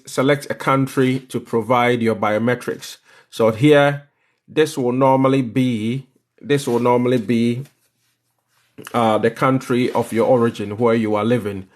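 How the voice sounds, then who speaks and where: A man with a Ghanaian accent hosting a live step-by-step tutorial, instructive and repeating phrases for emphasis. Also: mid-range voice. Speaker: livestream host explaining visa applications.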